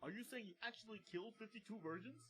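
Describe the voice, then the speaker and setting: A fed-up interviewer, as a man puts him on, pitched high and firing off questions at speed. He keeps trying to finish his questions and sounds impatient. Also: rapid-fire, a bit bright. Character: exasperated young male music interviewer.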